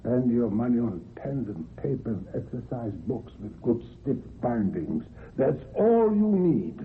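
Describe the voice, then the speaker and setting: An actor playing a stern, controlling old grandfather who speaks deliberately and insistently in a radio drama. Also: mid-range voice, sing-song, a little hiss or hum. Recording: tinny narrow-band old radio recording.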